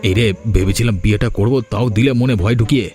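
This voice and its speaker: An eager young bachelor in a cartoon, voiced by a man, with a fairly low voice and quick pace. Faint music plays behind him. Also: lightly acted. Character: unmarried young man, scheming friend. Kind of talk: cartoon dubbing.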